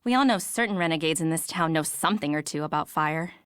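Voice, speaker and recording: A gossipy, suspicious townswoman in a video game. Her voice is smooth and clear, with a dry sound. She speaks in a judgmental, insinuating way. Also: low voice, expressive, fast talker, no echo. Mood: suspicious, smug.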